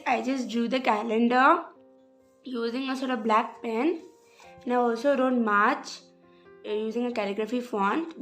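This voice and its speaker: Young female vlogger, higher pitch, a bit rough-voiced, hesitant talk over soft music. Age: young adult. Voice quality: hesitant, many "sort of" fillers. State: slightly rough voice. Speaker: journal vlogger. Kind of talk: casual craft walkthrough voice-over.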